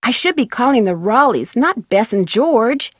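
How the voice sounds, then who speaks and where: A woman voicing an earnest, inquisitive teenage detective in a video game, explaining things clearly with an American accent. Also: fairly high pitch, very animated, normal speed, slight background noise. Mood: purposeful. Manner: clear-headed, explaining clues.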